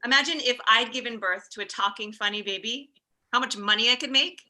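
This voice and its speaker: Woman playing a piercingly bright, blunt character. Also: fairly high pitch, a little fast. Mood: blunt, gloomy. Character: blunt comedy booker and chirpy mommy-group leader. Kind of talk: comedy table read of an animated pilot.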